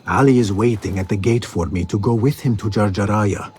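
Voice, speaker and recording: A man's voice: lowish voice, brisk, a little mellow, a little hiss or hum, natural voice. An actor playing a determined, inquisitive young male assassin. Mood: resolute. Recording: game audio with music.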